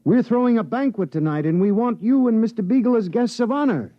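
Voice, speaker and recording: A man's voice: sits high, animated, brisk, no echo. An American man playing a genial, earnest veteran miners' leader with expansive, formal address in 1950s radio drama. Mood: delighted, earnest. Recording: muffled 1950s radio recording.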